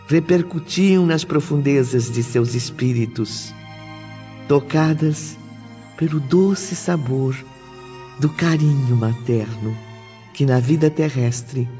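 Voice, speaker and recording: A man reading scripted audiobook narration in the third person, deliberate, solemn and formal. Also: medium pitch, a little mellow. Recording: dull lo-fi with music bed. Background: faint background music.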